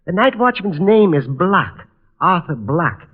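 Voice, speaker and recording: Timid, fussy manager, higher-pitched with lively swings, hesitant and deferential, vintage radio drama. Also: male voice, unhurried, slightly rough, heavily acted. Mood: worried. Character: fussy, timid, anxious male shop manager. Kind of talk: 1950s radio drama acting. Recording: muffled vintage radio recording.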